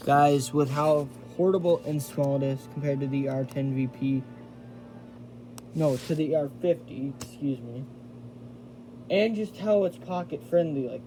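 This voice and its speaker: A man giving a casual hands-on product review, thinking aloud in a halting way as he demonstrates. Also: a little high, mellow. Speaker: amateur gadget reviewer. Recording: noisy homemade recording with radio static.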